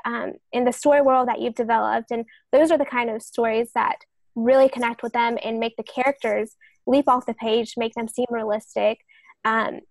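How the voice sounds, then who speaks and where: A woman's voice: on the high side, no echo. A woman on a podcast panel explaining conversationally, in an earnest and somewhat hesitant manner.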